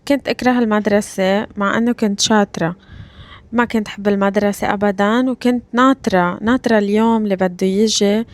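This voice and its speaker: A woman in her thirties from Lebanon, with a Lebanese Arabic accent, hosting a language-learning podcast. She talks slowly and deliberately, repeats phrases and checks in with her listeners. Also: medium pitch, fairly even intonation. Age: 30s. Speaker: Arabic teacher and podcast host. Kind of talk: slow, clear podcast lesson for learners.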